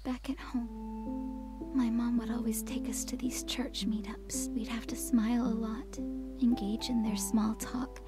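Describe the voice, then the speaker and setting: A woman playing a troubled, apologetic, grieving teenage girl in a visual novel, speaking softly and hesitantly. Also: fairly high pitch, flat delivery, a little slow, in a whisper, lightly acted. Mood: anxious, apologetic. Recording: music behind the voice.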